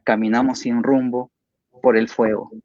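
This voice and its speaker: A man's voice: mid-range voice, flat delivery, unhurried, a little mellow, no echo, highs cut off. A man, hosting a literary interview in a polite, formal way. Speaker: interviewer, host.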